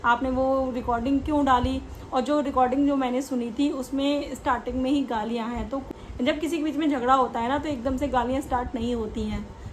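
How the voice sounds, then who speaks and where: A woman with a high-pitched voice gives casual opinion commentary as a reaction host. She explains things conversationally, slipping English words into her talk. A faint noise sits behind her voice. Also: low-quality recording.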